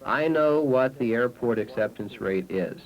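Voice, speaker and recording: A man's voice: mid-range voice, expressive, a little slow, muffled recording. A man with an American accent, an air traffic controller explaining procedure methodically on dated 1970s film sound. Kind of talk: explanation to camera.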